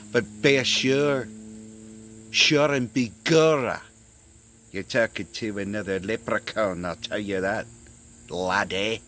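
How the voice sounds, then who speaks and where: A man's voice: on the low side, a bit bright. A man putting on a folksy Northern Irish leprechaun. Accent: put-on Northern Irish lilt. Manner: folksy, rambling, chuckling. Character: gossipy male leprechaun from northern Ireland.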